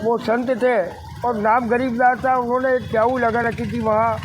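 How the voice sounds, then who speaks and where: Older man, very high voice, reflective formal speech, outdoor noise behind. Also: level tone, moderate pace. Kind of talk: recollection in interview.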